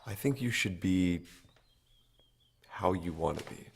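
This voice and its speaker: Low easygoing guy in a heist audio drama, casual and supportive, noisy background. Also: slow, creaky, full character voice. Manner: casual, reassuring. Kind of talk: naturalistic audio drama acting.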